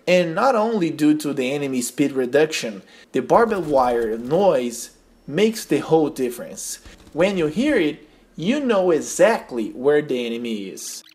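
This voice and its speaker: Young man, Brazilian Portuguese accent, non-native English, somewhat high voice, bouncy intonation, tutorial voice-over. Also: sing-song, a little mellow. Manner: careful non-native English, slightly halting phrasing. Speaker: game tips video host. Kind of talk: scripted gameplay tutorial voice-over.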